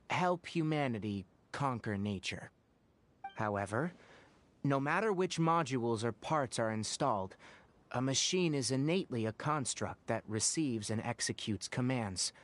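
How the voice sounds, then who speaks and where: An actor playing a serious, principled young male forest ranger and former scholar in a video game, speaking in a measured, articulate, earnest way. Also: medium pitch, animated, lightly acted. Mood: serious, explanatory. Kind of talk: scripted game dialogue.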